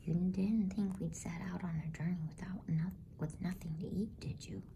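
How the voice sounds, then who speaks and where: Woman whispering as a motherly, unhurried beaver housewife. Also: fairly low pitch, fairly even intonation, vocal fry, dark tone, a little hiss or hum. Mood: practical. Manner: coolly practical, homely. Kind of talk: whispered bedtime storybook reading.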